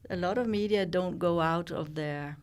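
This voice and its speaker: A Danish woman in her sixties, a journalist talking thoughtfully in an interview, pausing and restarting as she reflects. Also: on the low side. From Denmark. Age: 60s. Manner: thoughtful, with frequent pauses and restarts. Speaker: guest, journalist and founder.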